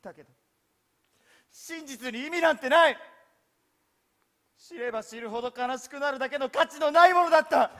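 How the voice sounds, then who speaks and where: High-voiced young male lawyer, disillusioned, earnest, dramatic stage acting. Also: normal speed, pauses often, a bit bright. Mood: bitter sorrow. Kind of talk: live stage-play acting. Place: theatre stage.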